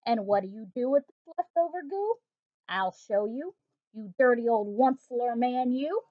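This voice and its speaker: A woman's voice: fairly high pitch, animated, moderate pace, dry recording, lightly acted. A woman voicing a small, stern forest creature who scolds in emphatic rhyming lines. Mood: scolding. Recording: dull, dry home recording.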